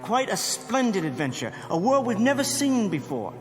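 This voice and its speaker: A haughty, high-voiced criminal professor. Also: male voice, very animated, moderate pace, soft background music. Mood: grandly eloquent. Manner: formal, articulate, theatrical. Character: educated, haughty criminal mastermind nicknamed Professor, wary and verbose. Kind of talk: radio drama acting.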